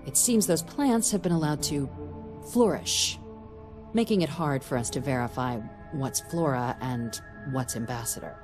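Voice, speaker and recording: An actress playing a composed woman diplomatic official in a game, giving a briefing in a measured, formal, dry way. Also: very deep voice, a little mellow, soft background music. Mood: measured, wry. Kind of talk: scripted game dialogue, mission briefing.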